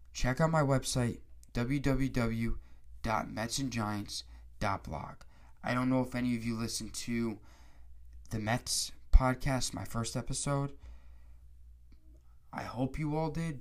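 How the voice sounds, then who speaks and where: A man talks casually on his solo sports podcast at a middling pitch. His nose is stuffed up and his throat has gone raspy from a cold. He rambles, stumbles over his words and starts his sentences over. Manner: rambling, restarts often.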